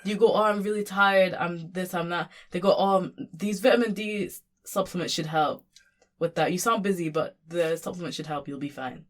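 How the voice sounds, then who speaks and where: A woman's voice: on the low side, moderate pace. A young woman from Sudan, a guest and community worker, talking in a casual conversation with many fillers and restarts.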